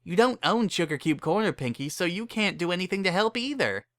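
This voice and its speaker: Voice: mid-range voice, expressive, a little fast, a bit bright, no echo, pitched above the performer's own voice, lightly acted. A narrator voicing a practical, fretful female farm pony, plain-spoken and skeptical in manner.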